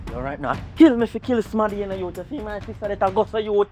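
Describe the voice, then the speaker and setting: A vengeful, grieving Jamaican man played by an actor in a screen drama. His high voice is insistent and full of threat, with music behind it. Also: animated, racing, a little mellow. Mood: bitter, threatening. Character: menacing grieving brother bent on revenge.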